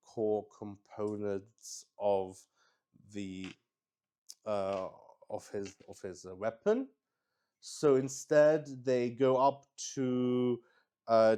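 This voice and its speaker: A man improvising narration as he plays a solo tabletop roleplaying game, thinking aloud in a halting way. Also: fairly low pitch, darkish tone, clear, resonant tone. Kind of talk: improvised game narration while rolling dice.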